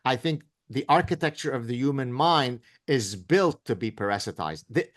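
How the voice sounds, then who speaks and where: A man's voice: medium pitch, animated, moderate pace. A man in his fifties, a professor guest in an interview, talking in an emphatic, sarcastic, lecturing way. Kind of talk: interview conversation.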